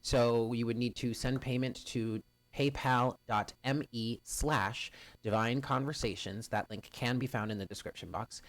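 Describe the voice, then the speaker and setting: Loud, upbeat man hosting a live stream. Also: mid-range voice, fairly even intonation, measured pace, dry recording. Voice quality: loud voice carrying. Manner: chatty and upbeat, greets viewers by name. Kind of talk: casual live-stream chat with viewers. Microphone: home stream mic, first too quiet, then louder.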